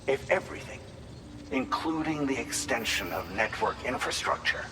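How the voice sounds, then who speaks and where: An actor playing an earnest government investigator in a video game, speaking in a formal, measured, analytical way. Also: male voice, mid-range voice, expressive, lightly acted. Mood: grave, analytical. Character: earnest male government investigator reporting privately. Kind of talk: video game cutscene dialogue. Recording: roomy with long reverb.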